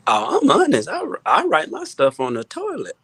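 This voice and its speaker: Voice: medium pitch, wildly swooping pitch, normal speed, creaky, very noisy. A livestream guest doing casual comic banter in a playful, mock-preaching manner.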